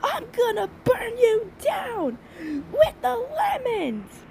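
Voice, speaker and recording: Woman voicing a brash, high-pitched grumpy little filly. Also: huge pitch swings, slow, creaky, noisy background, full character voice. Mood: brash, excited. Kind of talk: amateur cartoon comic dub.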